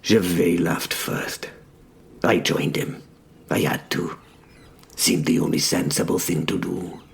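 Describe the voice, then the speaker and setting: A man giving measured, dramatic first-person narration of a story in short phrases. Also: sits low, steady tone, creaky.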